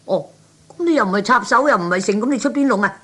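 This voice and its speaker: A man voicing an excitable, nosy hanger-on with a Cantonese accent in a 1960s comic radio play, chatty and full of exclamations. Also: sits high, fast talker, breathy edge, exaggerated voice. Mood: suspicious.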